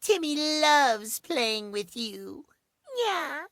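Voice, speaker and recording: A man's voice: extremely high pitch, animated, drawn-out words, gravelly, bright, forceful tone, no room sound, clean studio sound, exaggerated voice. A man voicing a cutesy, eager and devoted boyish clock in a video game, with a coy, playful manner. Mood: adoring, playful. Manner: cutesy, coy, speaks of self in third person. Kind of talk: theatrical video game character acting.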